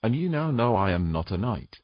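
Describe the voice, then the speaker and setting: An actor playing a tender, protective man with a husky voice and a gentle, coaxing manner in an intimate fantasy romance. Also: on the low side, very animated, a little fast, dry recording, dull audio. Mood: guarded. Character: tender, protective human man soothing a mermaid.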